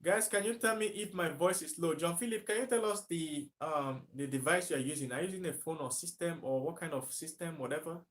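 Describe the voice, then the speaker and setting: A man's voice: a little high, brisk, hardly any pauses. A Nigerian man talking on a live stream to viewers, emphatic, repeating himself and asking rhetorical questions. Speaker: livestreaming commentator.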